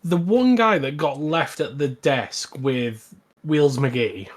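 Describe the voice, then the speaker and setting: Male podcast co-host, slightly high voice, casual film chat. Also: lively intonation, slow pace.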